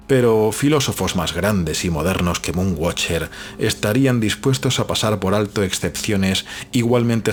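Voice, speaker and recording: A man from reads literary fiction as a scripted audiobook narration, in a measured, expressive storytelling manner. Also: low voice. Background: background music.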